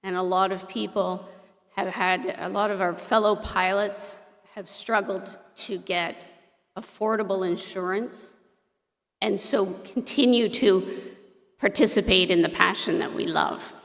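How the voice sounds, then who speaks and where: A woman from Ontario, a director presenting to a meeting in a lively, emphatic way with touches of humor. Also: mid-range voice, measured pace, creaky. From Ontario, Canada. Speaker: association director presenting an insurance program. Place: meeting hall at an airport. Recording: roomy hall reverb.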